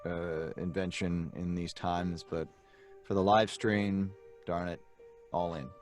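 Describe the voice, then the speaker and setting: Male guest, low-pitched. Dry, playful, off-the-cuff chat with light music underneath. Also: level tone, slow pace. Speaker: guest cast member. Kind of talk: casual chat.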